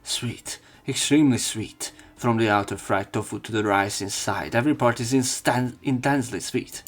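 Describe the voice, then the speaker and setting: A man's voice: medium pitch, moderate pace, soft background music. A man reading visual novel text aloud as a let's play host, casual and prone to interrupting himself.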